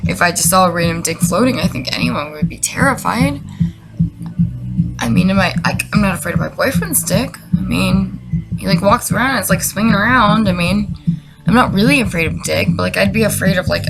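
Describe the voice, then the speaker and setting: A woman giving deadpan comedic commentary and dramatic readings, rambling through sarcastic, incredulous asides. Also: medium pitch, vocal fry, poor audio. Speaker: comedy video creator. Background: music.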